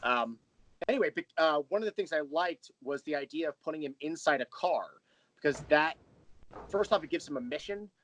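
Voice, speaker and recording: A man's voice: on the high side, lively intonation, brisk, fairly bright tone. A man speaking as the guest in a casual interview, explaining his creative work in a thoughtful, rambling storyteller's way. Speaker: interview guest, audio drama creator and writer.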